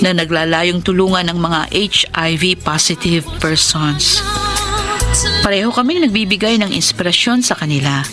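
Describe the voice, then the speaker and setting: An actress with a Filipino accent playing a sorrowful, devout, forgiving widow in an emotional radio drama. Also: female voice, on the low side, expressive, moderate pace, lightly acted. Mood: reflective. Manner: earnest, emotional, pleading. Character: sorrowful, devout, forgiving young widow telling her life story. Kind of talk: Filipino radio drama acting with letter narration. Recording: music bed under the voice.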